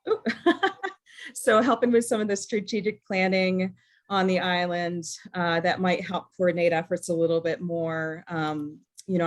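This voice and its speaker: A woman from New England with an American accent and a slightly low voice speaks in an informal meeting presentation. She is hesitant and thinks aloud, softening her points as she goes. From New England, USA. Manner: hesitant, thinking aloud, hedging with 'sort of' and 'you know'.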